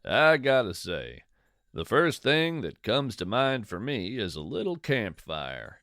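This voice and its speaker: A man tells a cozy, folklore-tinged tale at a slow, measured pace, his pitch moving expressively through descriptive phrases. He slips in musing, conversational asides as he goes. The recording is dry and close, with no room sound. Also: huge pitch swings, fairly bright tone, no echo. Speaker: podcast host and storyteller. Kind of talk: scripted first-person narration of cozy folklore fiction.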